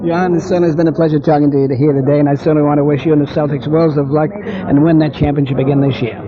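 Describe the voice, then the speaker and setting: A man's voice: a little high, steady tone, very fast. A man hosting a 1970s radio sports interview, speaking in effusive, formal phrases with hesitant questions. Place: busy club. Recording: dull vintage radio recording, crowd murmur.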